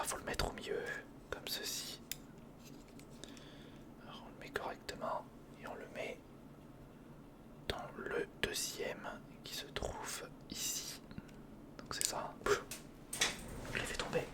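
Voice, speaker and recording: A man whispering in a breathy, unvoiced ASMR style, giving informal and slightly hesitant build-along commentary close to the microphone. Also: a little slow, pauses often. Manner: hesitant, informal, muttering to the listener. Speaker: ASMR video host. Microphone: close recorder microphone.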